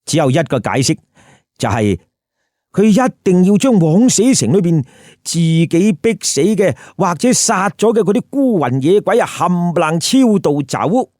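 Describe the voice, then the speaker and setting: A man's voice: high-pitched, sing-song, unhurried, a little mellow, dry recording, clean studio sound. A man with a Hong Kong Cantonese accent telling a story with colloquial, emphatic commentary and rhetorical questions. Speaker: storyteller host.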